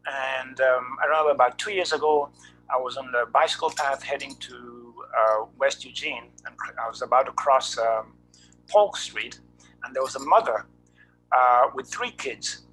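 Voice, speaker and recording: Bright-voiced man. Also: medium pitch, moderate pace, faint music behind, low-quality recording. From Kenya. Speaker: committee member and cyclist. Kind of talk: committee self-introduction.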